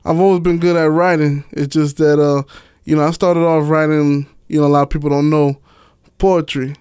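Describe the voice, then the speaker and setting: A man's voice: fairly high pitch, flat delivery, moderate pace, a little mellow, smooth, no echo, studio quality. A man from Miami talking as a guest in a casual podcast chat, candid and slangy. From Miami, United States. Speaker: interview guest, author and stage play director.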